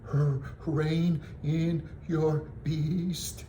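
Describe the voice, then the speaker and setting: A man's voice: fairly high pitch, level tone, drawn-out words, dark tone, slight background noise, voice pushed up. A man voicing a proud, stoic young prince in a children's storybook, with a stiff, haughty, muttering manner. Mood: stiff, guarded.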